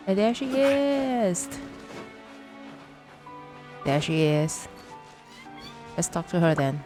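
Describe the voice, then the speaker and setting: A woman live-streaming a game with casual commentary, thinking aloud in a halting way. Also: fairly low pitch, very animated, music in the background.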